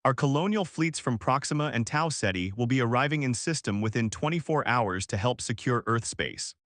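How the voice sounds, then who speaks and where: An authoritative male admiral of a human space fleet, put on by a male narrator, briefs formally and in measured phrases. The recording is close and very dry. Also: mid-range voice, lively intonation, fairly bright tone, no room sound, natural voice. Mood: commanding.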